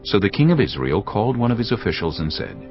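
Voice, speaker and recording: A man giving scripted audio Bible narration in a measured, formal manner. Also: on the low side, very animated, creaky. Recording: dull lo-fi audio over music.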